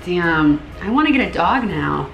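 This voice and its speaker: A woman's voice: on the low side, sing-song, moderate pace, gravelly, muffled recording. A young woman chatting casually as she makes prank calls for a video. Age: young adult. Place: small room indoors. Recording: roomy echo with music behind.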